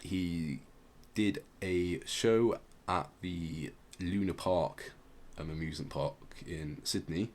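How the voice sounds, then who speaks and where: A man hosting a podcast, informally explaining music history in a halting way as he searches for words. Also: deep voice, a little mellow. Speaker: podcast host.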